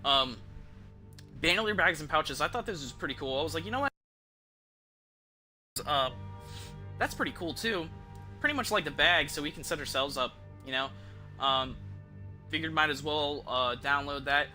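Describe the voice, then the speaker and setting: A man's voice: on the high side, expressive, moderate pace, long pauses, pushed and bright, soft background music. A man who streams games, giving casual, rambling commentary and thinking aloud in informal, mildly profane language. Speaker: game streamer.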